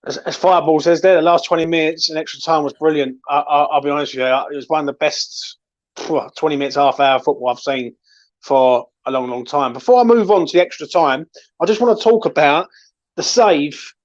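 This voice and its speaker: A man's voice: a little high, a little fast, lo-fi sound. A man hosting casual football chat, emphatic and opinionated, repeating himself to stress his points. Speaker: host of a football discussion show.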